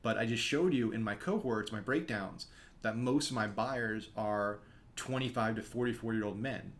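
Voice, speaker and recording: Young man, lowish voice, casual course instructor, talks fast with lots of ums, webcam in small room. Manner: quick, conversational, frequent "um" fillers. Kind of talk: screen-share course lesson.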